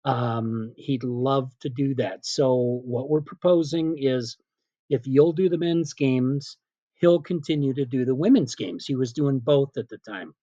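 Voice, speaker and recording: A middle-aged man, a PA announcer being interviewed, telling casual anecdotes in an animated way and quoting remembered dialogue. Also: medium pitch, a little slow, no room sound, dull audio. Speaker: stadium PA announcer, interview guest.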